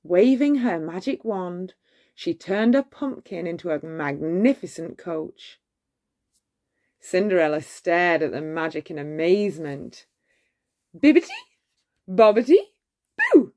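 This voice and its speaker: Slow-paced woman reading bedtime stories. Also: on the low side. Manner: measured, expressive storytelling. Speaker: storybook reader. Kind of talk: scripted bedtime story narration.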